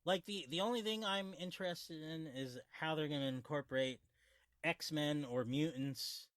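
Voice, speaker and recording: A man's voice: fairly high pitch, a little slow, a bit bright, no room sound. A man guest-hosting a movie review podcast in casual chat, skeptical and teasing, rambling with restarts. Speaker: guest co-host.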